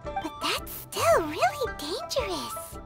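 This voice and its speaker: A tiny female fairy sidekick from a video game. The voice is extremely high, childlike and lively, with an earnest, naive, questioning manner. Music plays prominently behind it. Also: slow pace, creaky, heavily acted. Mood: worried. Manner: refers to self in third person, questioning. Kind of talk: English video game voice acting.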